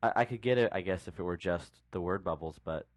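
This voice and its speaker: A man co-hosting a podcast, chatting casually with dry, deadpan humor. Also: deep voice, fast, no echo. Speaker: podcast co-host.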